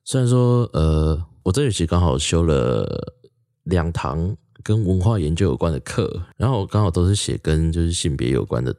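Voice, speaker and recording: Young taiwanese guy chatting solo on his podcast, low voice, rambly and informal, super dry recording. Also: big pitch swings, normal speed, dark tone, no room sound. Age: early 20s. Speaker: podcast host, university student. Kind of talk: casual solo podcast chat.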